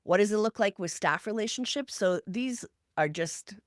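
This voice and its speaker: A woman's voice: medium pitch, expressive, normal speed, dry recording, clean studio sound. A woman hosting a podcast, talking through practical examples in an earnest, instructional way. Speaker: podcast host. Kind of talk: structured explanatory talk to listeners.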